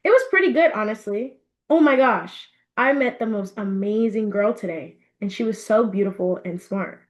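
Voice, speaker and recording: A woman's voice: medium pitch, lively intonation, normal speed, lightly acted. A woman voicing an earnest, teasing young male best friend in a storybook, chatty and insistent. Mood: smitten.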